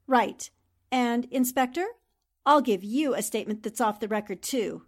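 An actress playing a brisk, determined newspaperwoman in a 1940s-style radio drama, asking questions in a crisp, probing, composed manner. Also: a little high. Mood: resolute. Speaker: voice actor in an audio drama.

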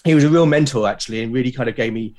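A man's voice: mid-range voice, very fast, darkish tone. A man chatting casually as a podcast guest, enthusiastic but hesitant, often repeating words as he goes. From Britain. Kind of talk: casual conversational interview.

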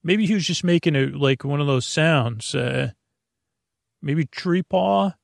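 A man's voice: on the high side, lively intonation, unhurried, creaky, no room sound, clean studio sound. A man voicing a puzzled, half-asleep listener who dithers and guesses aloud in a raised voice. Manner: unsure, trailing off, guessing aloud.

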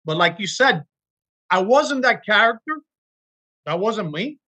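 A middle-aged man from Miami, a guest actor telling animated stories about auditions in a casual interview. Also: sits high, unhurried, slightly rough. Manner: animated storyteller, acts out quotes. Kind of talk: casual podcast interview storytelling.

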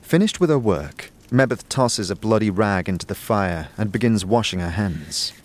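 A man's voice: fairly low pitch, expressive, normal speed. A man narrating a scripted second-person story for an audio drama.

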